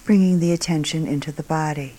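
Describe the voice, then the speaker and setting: A woman meditation teacher guiding a meditation calmly and unhurriedly, in short phrases with long pauses, her voice soft and breathy at phrase ends. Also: deep voice. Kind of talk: guided meditation.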